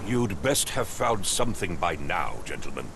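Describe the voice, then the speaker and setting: An actor playing a pompous, impatient middle-aged captain in a video game, with an English accent and clipped, condescending speech. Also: male voice, low-pitched, sing-song, normal speed, creaky, noisy background, heavily acted. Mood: impatient, irritated. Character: pompous, impatient male English captain, haughty wealthy master.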